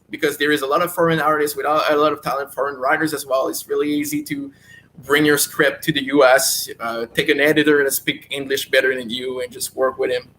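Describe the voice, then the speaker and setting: A man's voice: mid-range voice, bright, forceful tone, a little hiss or hum, low-quality recording. A man with a Quebec French accent, French as his first language, speaking eagerly as an interview guest and often restarting words as he searches for them in English. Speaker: guest comic creator. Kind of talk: casual interview talk.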